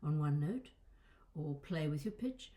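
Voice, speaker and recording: A woman's voice: sits low, expressive, a little slow, mellow. An older woman voice coach giving an informal lesson, conversational and digressive with wry asides, with a slight crackle and small breaks in her voice. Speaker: voice coach and presenter. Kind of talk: informal instructional talk to camera.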